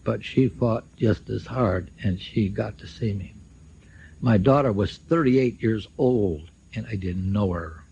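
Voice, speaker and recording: A man's voice: lowish voice, a little slow, creaky, warm tone, a little hiss or hum. An older man giving a public speech, telling his own story in emphatic, short declarative statements. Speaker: speaker at a symposium, recounting his own legal case. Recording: dull lo-fi shortwave radio recording.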